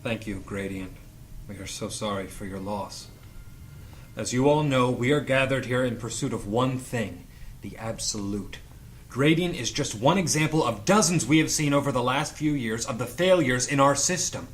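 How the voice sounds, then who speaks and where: A man's voice: medium pitch, animated, normal speed, a little hiss or hum, lightly acted. A man playing an earnest, solemn leader of a secret political movement, speaking like an orator in an audio drama read-through. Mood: solemn, sympathetic, then impassioned conviction.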